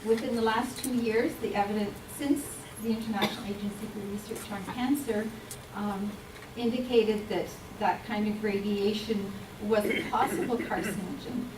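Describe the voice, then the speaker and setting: A woman giving an earnest, explanatory public health talk, with frequent pauses and ums. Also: mid-range voice, fairly even intonation, noisy background. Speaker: health speaker. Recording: roomy hall mic from a distance.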